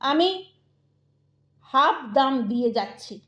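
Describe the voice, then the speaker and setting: A woman's voice: sits high, lively intonation, slow, a bit bright, lo-fi sound, heavily acted. A woman voicing a sly, obsequious male street trader with a Hindi-flavoured Bengali accent in an audio story. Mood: sly, courteous. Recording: echoey, reverberant voice track.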